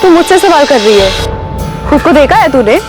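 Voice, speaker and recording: An actress playing a haughty, mocking young love rival, indignant, in a TV crime drama. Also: female voice, high voice, very animated, normal speed. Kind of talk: Hindi TV crime drama acting. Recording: loud dramatic music behind.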